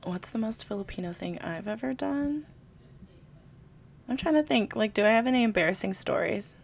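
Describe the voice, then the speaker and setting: A woman's voice: medium pitch, expressive, moderate pace, gravelly, slightly rough. A young woman from California, a podcast co-host, chatting casually in long rambling answers full of "like" and laughs. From California, USA. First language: Tagalog.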